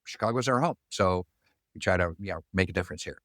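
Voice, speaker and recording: A man in his fifties, a guest on a podcast, speaking in a measured, slightly halting way in casual interview talk. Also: sits low, fairly bright tone, no room sound, studio quality.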